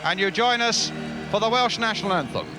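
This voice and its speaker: A man commentating on a football match in a measured, clipped broadcast manner, his voice a little strained. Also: sits high, lively intonation, over music. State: voice strained from travel. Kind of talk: match commentary with off-air asides. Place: football stadium. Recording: noisy 1970s stadium broadcast with loud crowd.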